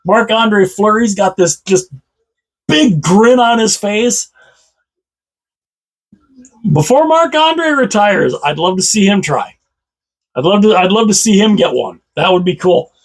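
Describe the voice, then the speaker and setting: A man with a slightly hoarse voice, chatting casually about hockey as a channel host, rambling and repeating himself with enthusiasm. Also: sits high, expressive, unhurried, long pauses, poor audio. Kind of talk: casual solo commentary to camera.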